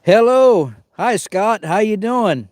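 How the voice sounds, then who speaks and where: A man's voice: sits high, animated, slow pace, darkish tone, no echo, clean studio sound. A man hosting a camping livestream outdoors in the cold woods, sniffling as he chats with viewers and greets them by name. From Arizona. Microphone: small mic worn on him.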